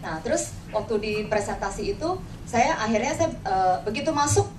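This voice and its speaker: A woman's voice: on the high side, lively intonation, normal speed. A woman with a Jakarta Indonesian accent gives an informal motivational talk as an agency leader, telling personal anecdotes conversationally and often checking for agreement. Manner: conversational, acts out quoted dialogue, frequent tag words seeking agreement. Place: indoor room before an audience. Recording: echoey room, distant mic, noisy background.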